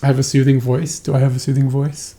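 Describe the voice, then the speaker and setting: Man, slight lisp, dark mellow tone, fast musing reaction chat. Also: medium pitch, flat delivery. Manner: musing, self-questioning. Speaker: co-host reacting to old footage.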